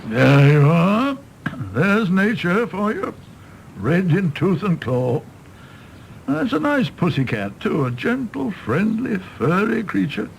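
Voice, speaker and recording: An actor playing a world-weary, cynical British doctor in a radio play, musing wryly and theatrically. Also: male voice, high-pitched, sing-song, slow, vocal fry, slight background noise, full character voice, rough recording. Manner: wry, rambling, theatrical musing. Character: cynical, world-weary doctor, a fallen idealist, teasing and philosophical.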